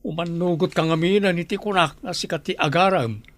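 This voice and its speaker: An actor with a Filipino accent playing a stubborn, carefree older bachelor uncle in a radio drama, drawling and dismissive. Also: male voice, high-pitched, brisk, lightly acted.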